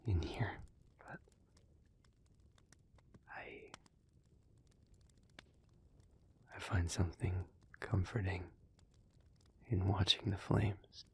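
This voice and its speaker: A man playing a brooding, devoted demon in an intimate audio roleplay, speaking in solemn, halting, measured phrases. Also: low-pitched. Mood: tender, hesitant.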